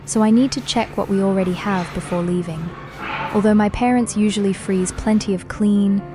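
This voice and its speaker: Young woman, mid-pitched, calm scripted travel voice-over, clear music underneath. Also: moderate pace. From Vietnam. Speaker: vlogger. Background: background music.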